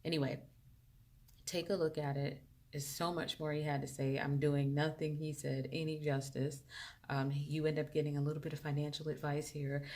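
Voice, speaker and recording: Low-voiced upbeat woman host. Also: little pitch movement, moderate pace, a little mellow. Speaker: host and life coach. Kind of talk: casual video interview.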